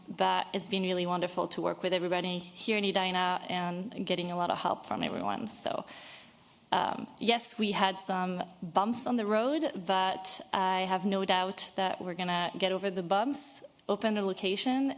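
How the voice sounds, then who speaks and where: A woman's voice: lowish voice, moderate pace. Earnest French-born woman addressing a board. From Brittany, France. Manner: earnest, a bit nervous, many fillers. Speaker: business owner addressing a city board. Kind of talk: public comment at a meeting.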